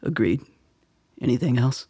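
An actor playing a confident military intelligence officer in a radio drama, gravelly and husky, with a terse, matter-of-fact delivery. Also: male voice, medium pitch, lively intonation, moderate pace, mellow, a little hiss or hum, close to an everyday voice.

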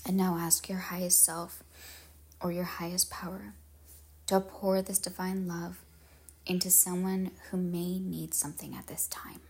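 A woman leading a guided meditation in measured, solemn phrases with pauses, her husky voice trailing into breathiness. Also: on the low side, little pitch movement, a little mellow.

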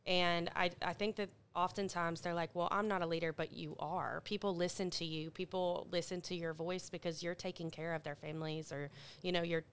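A woman co-host speaks with earnest encouragement, repeating her key points. Her voice is low and exceptionally clear. Her pitch stays level and even throughout. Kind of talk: conversational podcast discussion.

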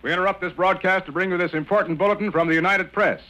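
A man's voice: high voice, fairly even intonation, rapid-fire, bright, forceful tone, dull audio. A man reading a formal news bulletin in old-time radio announcer style.

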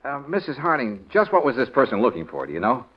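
An actor with an American accent playing a confident, brisk troubleshooter in a 1940s radio drama. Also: male voice, medium pitch, huge pitch swings, husky. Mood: probing. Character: confident, brisk male private troubleshooter, eager for excitement. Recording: muffled, noisy 1940s radio recording.

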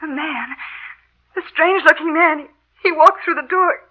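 An actress playing a frightened, shaken office girl in halting, dramatic 1940s radio style. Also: sits high, dull audio, natural voice. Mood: terrified.

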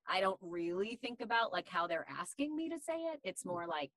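A woman voice actor with a deep voice, talking candidly and chattily in an interview, with many fillers. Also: very animated, a little fast, a bit bright, dry recording, clean studio sound. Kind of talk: casual interview chat.

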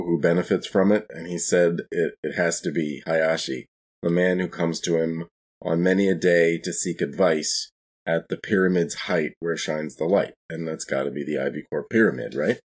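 Low-pitched, husky, hesitant man at game. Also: steady tone, moderate pace. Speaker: tabletop role-playing player. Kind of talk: casual in-character tabletop game talk.

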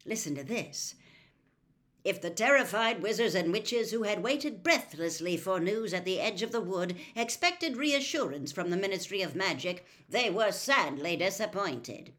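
A woman's voice: mid-range voice, lively intonation, normal speed, a bit bright, natural voice. A woman voicing a weary, kindly middle-aged wizard father who speaks heavily and soothingly. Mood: heavy. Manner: heavy, soothing, exasperated.

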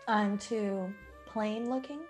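An earnest young woman in a radio drama, acted by a woman, with a medium-pitched voice. She speaks politely and eagerly, in the style of an old-time play, with faint music behind. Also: steady tone, slow pace, a little mellow, close to an everyday voice. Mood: polite, puzzled.

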